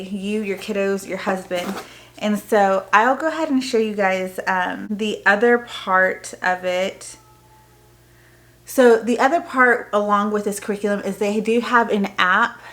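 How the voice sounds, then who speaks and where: A woman's voice: mid-range voice, fairly bright tone. An American woman with a mid-range voice speaks as the host of a casual homeschooling vlog. She explains things in a chatty, informal way. Her sentences wander a little as she goes. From Texas, United States. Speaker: homeschooling mother and video host. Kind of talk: casual vlog product talk to camera.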